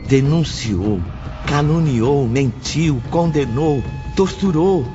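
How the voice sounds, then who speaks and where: A man narrating a scripted, dramatic audiobook in a solemn, deliberate way. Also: fairly high pitch, animated. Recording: dull lo-fi audio under loud music. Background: music.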